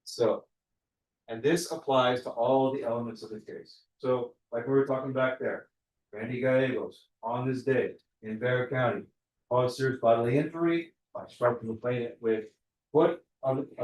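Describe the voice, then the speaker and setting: A man working as a prosecutor, questioning a jury panel in a quick, conversational way. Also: mid-range voice, fairly even intonation, darkish tone, a little hiss or hum. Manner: fast, conversational, explains with examples. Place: large courtroom. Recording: echoey courtroom from far off.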